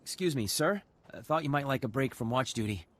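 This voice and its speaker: An actor playing an eager, deferential militia recruit in a video game, speaking respectfully and earnestly. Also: male voice, mid-range voice, animated, a little fast, close to an everyday voice.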